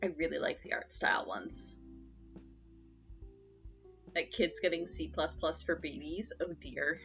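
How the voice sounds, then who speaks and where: A young woman with a low voice talks casually to her stream, drifting from thought to thought with plenty of fillers. Her nose is stuffed up and her voice sounds gravelly from congestion. Quiet music plays faintly underneath. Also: measured pace, lo-fi sound. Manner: chatty, rambling with fillers. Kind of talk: casual chat while sewing.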